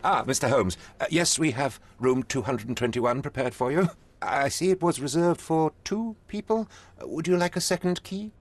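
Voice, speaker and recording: An actor playing a polite, formal hotel receptionist in a video game. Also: male voice, fairly high pitch, moderate pace. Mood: courteous. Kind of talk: video game cutscene voice acting.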